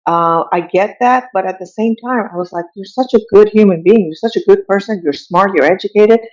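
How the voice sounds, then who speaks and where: A woman's voice: mid-range voice, fairly quick, darkish tone, muffled recording. A middle-aged woman from Iran, a guest telling personal stories in a casual interview in an earnest, reflective way, often saying "you know".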